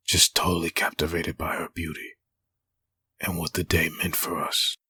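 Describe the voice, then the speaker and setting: A man playing a brooding, lovesick husband haunted by memories, in a husky voice for a dramatic comic dub. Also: low-pitched, monotone, moderate pace, pushed and bright, full character voice. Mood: wistful. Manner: brooding inner monologue.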